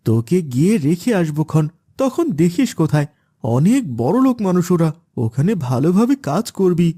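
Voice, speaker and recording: A man's voice: a little high, sing-song, moderate pace, warm tone. Man voicing a stern, lecturing cartoon family man. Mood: gruff, irritated. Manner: lecturing, admonishing. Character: stern preachy father, later gruff scolding gambler uncle. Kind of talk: Islamic children's cartoon dubbing.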